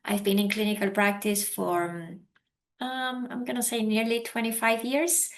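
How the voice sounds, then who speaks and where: A woman's voice: on the high side, level tone, unhurried. A woman from Ecuador in her forties, a native Spanish speaker with an Ecuadorian Spanish accent, introducing herself as an audiologist panelist on a video call with many um fillers. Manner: warm, self-deprecating, many um fillers.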